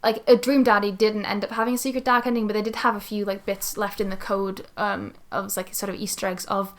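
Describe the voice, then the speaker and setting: A woman's voice: mid-range voice, little pitch movement, fairly quick, a bit bright. A woman with a mid-range voice co-hosts a gaming podcast. She talks informally, as if among friends, swearing freely and dropping "like" into her sentences. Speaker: podcast co-host. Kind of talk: casual chat about video games.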